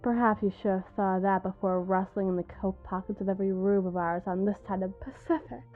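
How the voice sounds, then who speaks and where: A woman's voice: mid-range voice, fairly even intonation, quick pace, phone-quality sound, soft background music, lightly acted. A woman playing a menacing, mockingly sweet female mob boss in a dramatic ASMR roleplay, taunting and drawing out her words for emphasis. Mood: cold, threatening.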